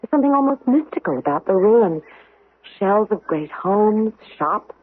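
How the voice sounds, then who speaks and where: Husky, coaxing woman friend. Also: mid-range voice, normal speed. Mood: curious, playful. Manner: urging, expressive, insistent. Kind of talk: old-time radio drama acting. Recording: muffled, band-limited 1970s radio broadcast.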